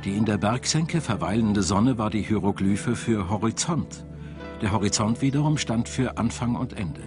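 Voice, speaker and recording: Low-voiced male documentary narrator over music. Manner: measured, storytelling. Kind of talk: scripted narration.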